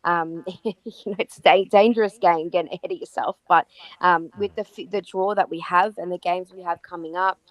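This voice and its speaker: An Australian woman co-hosting a podcast, her voice croaky from yelling, chatting enthusiastically with frequent ums and restarts. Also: fairly low pitch, dry recording, poor audio. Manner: enthusiastic, many fillers and restarts. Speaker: podcast co-host. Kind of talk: casual chat about football.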